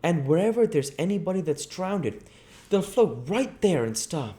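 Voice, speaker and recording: An eager, superstitious country boy from a storybook, voiced by a man, pitched well above an adult male register. He talks in a folksy, ungrammatical rural way, keen to explain things. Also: sing-song, normal speed, warm tone.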